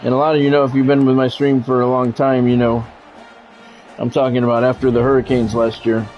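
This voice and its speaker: A man's voice: mid-range voice, level tone, brisk. American man live-streaming, dull lo-fi sound. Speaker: livestream host, game commentator. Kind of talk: casual live-stream chat with play-by-play commentary. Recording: dull lo-fi sound, music behind. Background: music.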